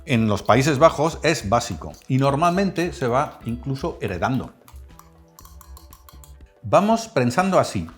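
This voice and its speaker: Male cooking host, animated delivery over faint music. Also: medium pitch. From Netherlands. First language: Dutch.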